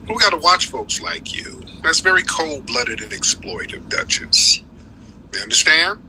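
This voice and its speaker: A man runs a heated live call-in show. He talks over his guest and stacks up quick "no, no" denials. His voice has a very bright, cutting edge, and faint noise hums under it. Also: a little high, sing-song, rough recording. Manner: forceful, interrupting, repeats "no, no". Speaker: live stream host.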